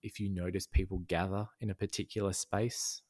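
A man's voice: sits low, level tone, a little fast, a little mellow. A man talking as a podcast guest in a casual conversation, thoughtful, with many fillers and restarts. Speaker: podcast guest, design educator.

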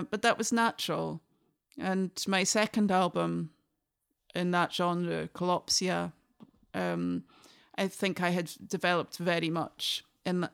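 A woman's voice: lowish voice, vocal fry, clear, resonant tone. A Scottish woman in her forties, a guest musician talking thoughtfully in a reflective conversation, often restarting her sentences. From Scotland.

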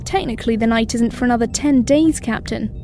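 An actress playing a precise, caring scientist astronaut, talking in a sci-fi audio drama. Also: female voice, a little high, brisk, music in the background, lightly acted. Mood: calm, caring.

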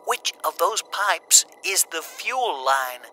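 A man's voice: sits high, big pitch swings, slow, pushed and bright, faint music behind, pitched above the performer's own voice, full character voice. A man voicing an urgent young woman who commands a rescue ship, pleading and commanding in turn.

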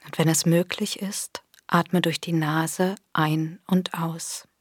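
Slow, breathy woman guiding meditation. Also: sits low, fairly even intonation, no room sound, clean studio sound. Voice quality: soft, breathy. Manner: gentle, soothing, unhurried instruction with long pauses. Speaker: meditation guide. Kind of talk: guided body scan meditation, scripted narration.

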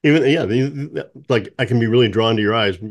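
A man hosting a music podcast, chatting in a relaxed way and telling stories with fillers. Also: mid-range voice, brisk. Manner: relaxed, anecdotal, with fillers and laughs. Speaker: podcast host. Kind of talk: casual interview chat.

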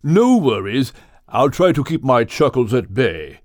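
A man voicing a genial, gentlemanly whale for an audiobook, with a British accent and polite, old-fashioned manners. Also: medium pitch, big pitch swings, unhurried, no echo, studio quality. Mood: genial, courteous. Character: genial, courteous upper-crust male whale. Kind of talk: audiobook character acting.

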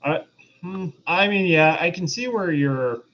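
A man's voice: sits high, measured pace. A man co-hosting a podcast in a casual review discussion, explaining his views in a hesitant, rambling way. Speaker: podcast co-host reviewing films. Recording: dull, lo-fi voice audio.

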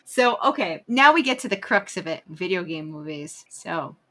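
A woman's voice: on the low side, animated, normal speed, a bit bright. A woman co-hosting a podcast, chatting casually and offering her opinions in an informal conversational style. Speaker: podcast co-host.

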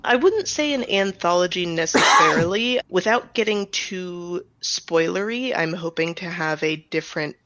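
A woman's voice: fairly low pitch, normal speed, hardly any pauses, fairly bright tone, no room sound. A woman chatting casually as an interview guest, on a slightly dull, lo-fi recording. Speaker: interview guest, audio drama writer-director.

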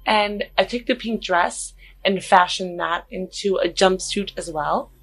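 Slow-paced woman playing a tabletop game. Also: mid-range voice, a bit bright, breathy edge. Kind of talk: casual in-game role-play talk.

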